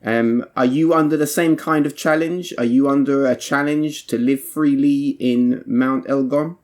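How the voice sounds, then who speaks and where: A man interviewing a guest, his questions measured and supportive. His voice sits at an ordinary middle pitch. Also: fairly even intonation, a little mellow.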